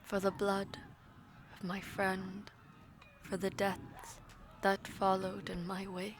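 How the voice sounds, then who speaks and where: A woman playing a proud, powerful mage villainess in an audio drama, speaking in a formal, imperious and deliberate manner. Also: medium pitch, monotone, noisy background, lightly acted. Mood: resigned, sorrowful, hushed. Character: proud powerful female mage, commanding, vengeful, self-sacrificing villainess. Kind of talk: dramatic audio roleplay acting.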